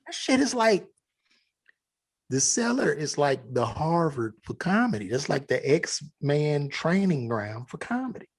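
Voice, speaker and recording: A man's voice: a little high, big pitch swings, measured pace, slightly rough. A man from Chicago's South Side with a Chicago accent, a guest comedian chatting on a podcast in a loose, profane way full of fillers. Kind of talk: casual podcast chat.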